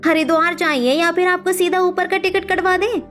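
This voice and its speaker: A woman's voice: very high-pitched, steady tone, moderate pace, a bit bright, soft background music. A woman voicing a sassy, protective young daughter-in-law, cheeky and emphatic in a cartoon story. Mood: mocking.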